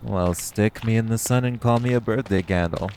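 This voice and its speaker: A man's voice: lowish voice, normal speed, noisy background, close to an everyday voice. A man playing a cocky, flirtatious vampire who banters sardonically and swears in an audio roleplay. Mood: bantering. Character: cocky, teasing, flirtatious male vampire, long-lived yet youthful.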